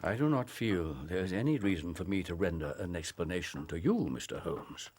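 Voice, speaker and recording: Haughty English professor, dramatically swooping pitch. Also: male voice, animated, a little fast, a little mellow. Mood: irritated, guarded. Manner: pompous, clipped, imperious. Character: haughty, stern, disapproving male Victorian medical professor. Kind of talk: period radio drama dialogue. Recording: faint background noise.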